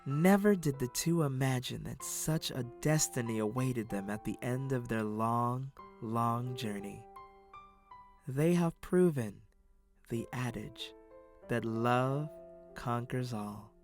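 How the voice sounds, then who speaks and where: A man playing a solemn storyteller, giving measured, dramatic storybook narration for a fairy-tale stage play. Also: mid-range voice, over music. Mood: solemn, formal.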